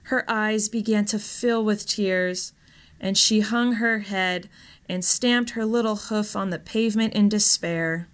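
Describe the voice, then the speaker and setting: A woman reading a scripted story in a measured, expressive storytelling manner. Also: mid-range voice. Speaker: story reader, actor.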